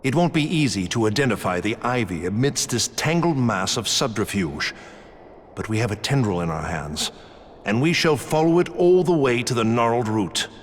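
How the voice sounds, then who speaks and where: An actor playing a composed, shrewd military intelligence commander in a fantasy game, speaking in formal, measured, archaic phrases with grave courtesy. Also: male voice, mid-range voice, lively intonation, natural voice. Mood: grave, confiding. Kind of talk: dramatic fantasy game voice acting. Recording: long reverb under clear music.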